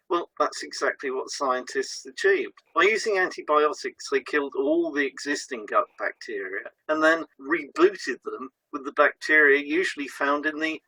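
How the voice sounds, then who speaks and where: A man's voice: fairly high pitch, pushed and bright, husky, no echo, poor audio. A man co-hosting an educational podcast, explaining from a script. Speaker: podcast co-host and hypnotherapist.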